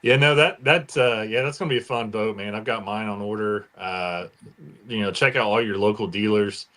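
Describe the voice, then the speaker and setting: A man with an American accent and a low-set voice. He speaks in a casual, conversational way, like a co-host chatting on a podcast. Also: normal speed, bright, forceful tone. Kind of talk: casual chat.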